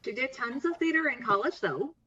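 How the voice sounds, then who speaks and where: An actress playing a wry, bold young best friend, teasing and confident, in a conversational table read. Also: female voice, high voice, lively intonation, brisk, bright, forceful tone, husky, highs cut off, full character voice. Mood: breezy.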